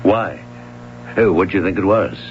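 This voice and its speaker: An actor playing an easygoing, amused swimming coach in a 1970s radio drama. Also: male voice, low-pitched, unhurried, loud music behind the voice. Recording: dull lo-fi old radio broadcast.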